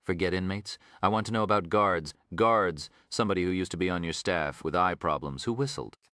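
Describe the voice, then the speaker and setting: A man's voice: low-pitched, brisk, pushed and bright, no room sound, clean studio sound. A man voicing a brusque, impatient, driven criminalist detective in an audiobook. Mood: urgent. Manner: impatient, curt.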